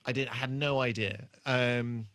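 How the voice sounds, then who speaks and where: A male podcast co-host with a bright, forceful voice chats casually and jokily, swearing now and then. The sound is very dry, with a medium pitch. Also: fairly even intonation, normal speed, no room sound. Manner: sweary, joking. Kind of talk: casual banter, re-watch podcast chat.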